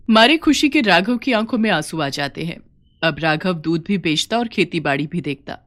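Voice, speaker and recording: A woman's voice: mid-range voice, fairly bright tone. A woman narrating a scripted folk tale for a cartoon, as a storyteller.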